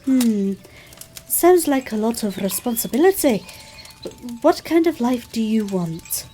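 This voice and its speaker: A woman playing a gentle, modest, hopeful character in an audio drama, speaking thoughtfully and with some hesitation. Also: on the high side, animated, unhurried, a little hiss or hum. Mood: reflective. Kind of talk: scripted audio drama dialogue.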